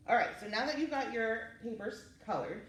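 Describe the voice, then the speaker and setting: Animated woman leading children's story time. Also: fairly high pitch, a little fast. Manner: encouraging, informal, talking through each step. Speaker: children's librarian hosting story time and craft. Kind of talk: casual instructional talk to young children. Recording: roomy, distant mic with faint noise.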